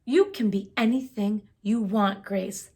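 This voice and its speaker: A woman's voice: mid-range voice, measured pace, a little mellow, breathy edge. A woman reading aloud, voicing a firm, loving elderly grandmother in a children's storybook. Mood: reassuring.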